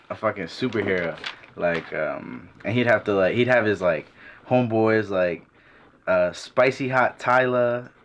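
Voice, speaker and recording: A young man, an artist, talking casually about his work in a documentary interview, relaxed and swearing freely. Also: sits low, a little hiss or hum. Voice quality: laid-back, swears often, says "bro" and "like".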